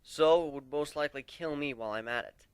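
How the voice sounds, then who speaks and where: A man narrating a dramatic first-person story in an expressive, conversational way. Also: on the high side, a little fast, smooth, no room sound.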